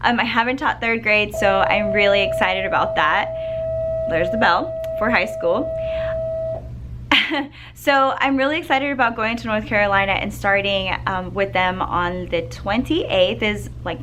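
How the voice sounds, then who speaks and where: A second grade teacher with a slightly scratchy voice, chatting upbeat to camera in a school classroom, clearing her throat now and then. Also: medium pitch, big pitch swings, unhurried. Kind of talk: casual vlog chat to camera.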